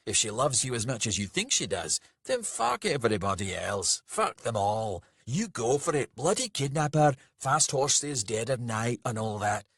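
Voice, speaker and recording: A man's voice: medium pitch, big pitch swings, moderate pace, hardly any pauses, a bit bright, slightly rough, dry recording, clean studio sound, voice pushed up, full character voice. A man voicing a big, fierce male brawler in a story, blunt, sweary and goading. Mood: eager, goading.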